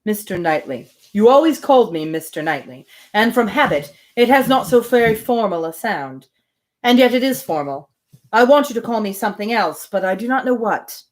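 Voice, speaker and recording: A woman reading the lines of a lively, playful young heroine in her own voice. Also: mid-range voice, moderate pace, lightly acted, rough recording.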